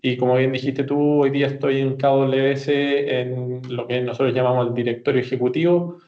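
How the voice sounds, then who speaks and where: A Chilean man in his forties speaks as an interview guest at a middle pitch. His delivery is even, explanatory and conversational, with hesitations and self-corrections. The recording sounds slightly dull and lo-fi. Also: normal speed. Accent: Chilean Spanish. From Santiago, Chile. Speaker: podcast guest, company executive. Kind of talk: conversational interview answers, personal recollection.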